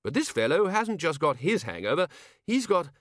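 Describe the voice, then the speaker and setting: Man putting on a pompous old wizard boss, high and blustery, all over the place pitch-wise, dry sound. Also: very animated, moderate pace, a bit bright, no echo. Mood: blustering. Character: blustering older male head wizard, loud and brisk.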